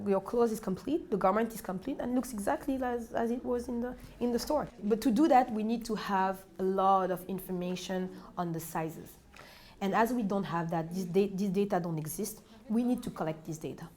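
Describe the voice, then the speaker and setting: A woman's voice: medium pitch, a little mellow. Woman in her twenties from senegal, talking earnestly on camera, lots of restarts, lapel mic indoors. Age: 20s. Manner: earnest, self-correcting, many restarts. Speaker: entrepreneur. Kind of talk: documentary interview. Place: indoor clothing shop.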